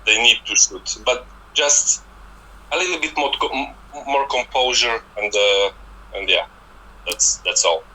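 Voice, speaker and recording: A man with a strong, very bright and forceful voice and a Polish accent talks football as a guest scout. His speech is casual and halting, with words repeated as he searches for the next phrase. His pitch sits in an ordinary male range. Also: a little hiss or hum, poor audio. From Poland.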